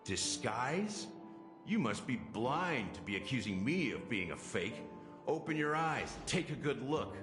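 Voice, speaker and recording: Sardonic rogue, wildly swinging delivery. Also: male voice, mid-range voice, sing-song, a little slow. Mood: taunting. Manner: theatrical, taunting, mocking. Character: roguish, sardonic grown man accused of murder, defiant trickster. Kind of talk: dramatic video game voice acting. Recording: very echoey hall, music underneath. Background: music.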